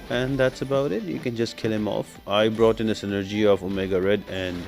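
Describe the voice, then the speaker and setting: A man with a fairly low voice narrates a video game guide as the channel's host. He explains strategy in an instructional way, speaking directly to viewers over background music. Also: a little mellow. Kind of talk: scripted-sounding game guide commentary. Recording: music behind the voice.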